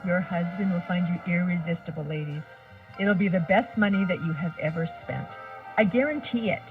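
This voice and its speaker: A woman playing a satisfied customer in a scripted radio ad, giving a deliberate, assuring testimonial. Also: fairly low pitch, close to an everyday voice. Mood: assured. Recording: dull distant mic, music behind.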